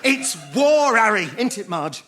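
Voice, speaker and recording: An actor with a Yorkshire accent playing a stubborn, combative chip shop owner in a stage musical comedy, declaiming like a wartime leader. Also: male voice, high voice, big pitch swings, a little slow, over music, crowd noise. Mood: indignant. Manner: blustering, declaiming wartime speeches.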